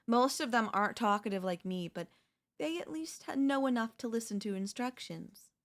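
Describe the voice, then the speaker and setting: A woman's voice: a little high, lively intonation, normal speed. A woman voicing a chatty female zombie who cheerfully and conversationally coaxes in a comedic audio roleplay. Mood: coaxing, reasonable. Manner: conversational, coaxing, wheedling. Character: chatty, cheerfully persuasive female zombie craving human flesh.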